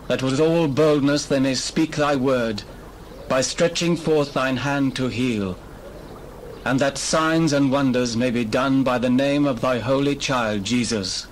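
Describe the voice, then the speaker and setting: A man's voice: mid-range voice, a little hiss or hum, lightly acted, low-quality recording. Man acting a solemn, devout believer in prayer. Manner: solemn, measured, reverent. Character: devout male believer praying solemnly to God. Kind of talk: dramatized Bible reading.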